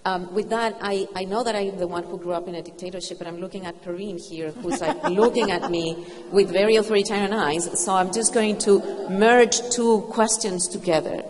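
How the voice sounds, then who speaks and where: A middle-aged woman moderating a panel discussion, asking unhurried, thoughtful questions. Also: medium pitch, fairly even intonation, a crowd in the background. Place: event hall with audience. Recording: reverberant hall with faint ambience.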